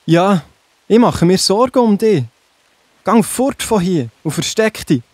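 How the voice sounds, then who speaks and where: A man's voice: sits high, expressive, measured pace, darkish tone, no echo. A man with a Swiss German accent playing a worried, loyal young prince in a children's Bible audio drama, speaking earnestly. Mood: worried, urgent.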